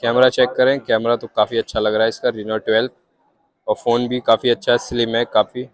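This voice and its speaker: A man vlogging a casual walk-through of a shopping mall, listing phone prices in a flat, repetitive way while filming on a handheld phone. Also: mid-range voice, fairly quick. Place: large indoor shopping mall.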